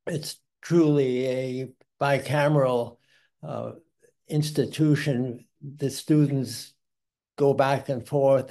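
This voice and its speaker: A man hosting a lecture event speaks slowly in a mellow, dark voice. He introduces the guest and poses questions deliberately, stumbling now and then over a word. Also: medium pitch. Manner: deliberate, with small slips and restarts. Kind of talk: formal introduction and interview questions.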